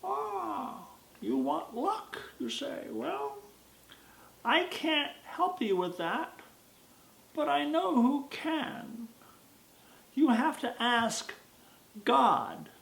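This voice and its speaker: A storyteller voicing a cryptic old wise woman of a village, speaking slowly and knowingly. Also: high voice, big pitch swings, vocal fry, fairly bright tone, voice pushed up, exaggerated voice. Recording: roomy echo.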